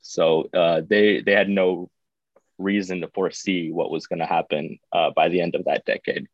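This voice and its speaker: Deep-voiced man, halting and unhurried. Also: level tone, no room sound. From born in Baku, raised in the United States. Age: 30s. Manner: unhurried, with frequent filler sounds. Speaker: podcast guest. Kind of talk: casual interview. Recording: dull, dry sound.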